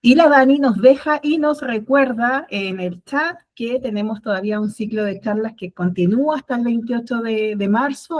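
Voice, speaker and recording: A woman's voice: fairly high pitch, darkish tone. A woman hosting an online talk on a video call, thanking the guest and audience in a loose, friendly wrap-up. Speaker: webinar host, moderator.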